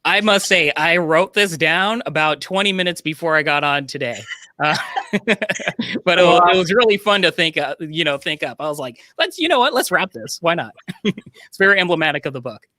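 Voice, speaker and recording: Man, higher pitch, bright and punchy, animated casual book talk, dry recording. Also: normal speed, dry recording. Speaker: book presenter on a panel.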